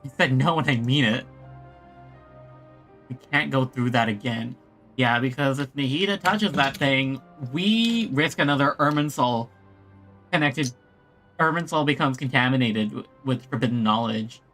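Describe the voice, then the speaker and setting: Woman reacting to a game, lowish voice, animated, faint music behind her. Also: a little slow. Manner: expressive, reactive. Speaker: game streamer. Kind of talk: casual reaction commentary on a game.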